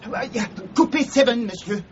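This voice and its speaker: An actor playing a flustered, servile French train attendant in a radio drama, with a French accent. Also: male voice, very high voice, moderate pace. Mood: flustered, obliging. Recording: dull lo-fi recording, faint noise.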